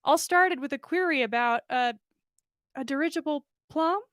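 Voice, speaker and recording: A woman's voice: high-pitched, normal speed, a bit bright, no echo, clean studio sound, pitched above the performer's own voice. A woman reading the part of a shy, earnest young man in a story, hesitant and modest. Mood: explaining.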